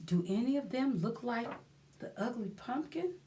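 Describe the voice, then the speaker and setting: A woman's voice: on the high side, expressive, moderate pace, warm tone. A woman hosting a children's storytime, speaking expressively in a sing-song way and repeating phrases for young listeners. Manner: addresses young listeners as friends. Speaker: library storytime host. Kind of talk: children's storytime read-aloud and action rhymes.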